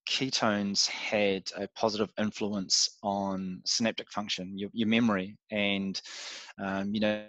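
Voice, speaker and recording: A man's voice: fairly low pitch, level tone, a little slow, fairly bright tone, dry recording, muffled recording. A man from New Zealand with a New Zealand accent, talking as a podcast guest in a reflective, casual way with frequent fillers. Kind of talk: casual interview chat.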